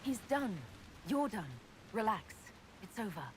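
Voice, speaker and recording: A long hall reverb and slight background noise surround an actress playing a kindly woman leader in a fantasy game. She speaks at a medium pitch in a measured, reasonable way. Her delivery stays composed and gently firm. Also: lively intonation, close to an everyday voice. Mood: calm, firm. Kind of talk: video game cutscene acting.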